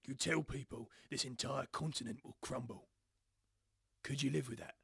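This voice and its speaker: An actor playing a tense, defeated boss with a gravelly, husky voice in an audio drama, grim and defensive. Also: male voice, medium pitch, normal speed, a bit bright, no room sound, studio quality, heavily acted. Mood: grim, urgent. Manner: grim, resigned, sternly defensive. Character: tense, defeated male boss guarding a terrible secret.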